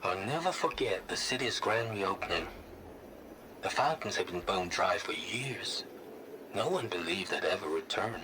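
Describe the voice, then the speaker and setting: A man voicing a wistful, reflective character in a video game monologue, with breathy, sentimental delivery. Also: low voice, lively intonation, moderate pace, noisy background. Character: wistful man recalling his city's lost glory.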